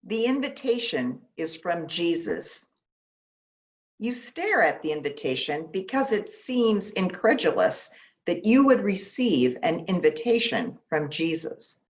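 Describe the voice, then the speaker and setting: Slow-paced woman guiding a meditation. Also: fairly low pitch, big pitch swings. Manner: unhurried, gentle instructions with long pauses. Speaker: pastor leading guided meditation. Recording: dull, lo-fi sound.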